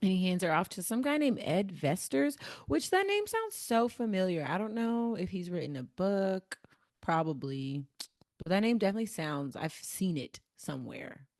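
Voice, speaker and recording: A woman's voice: medium pitch, big pitch swings, normal speed, darkish tone. A woman with an American Midwest accent, co-hosting a podcast and casually chatting about a book, laughing often and using lots of fillers. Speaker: podcast co-host.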